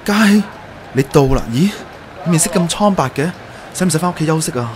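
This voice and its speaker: A man's voice: fairly high pitch, animated, a little fast, noisy background, natural voice. A man acting a devoted, earnest and unsure young man in love in a radio drama, with a Hong Kong Cantonese accent. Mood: concerned, caring. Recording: roomy echo, music bed.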